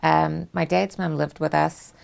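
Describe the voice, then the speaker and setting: A woman, hosting a studio talk show and answering questions in a measured, thoughtful way with frequent ums. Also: low voice, steady tone, gravelly, darkish tone. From New Zealand. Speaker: host. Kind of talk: studio interview chat. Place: small studio set.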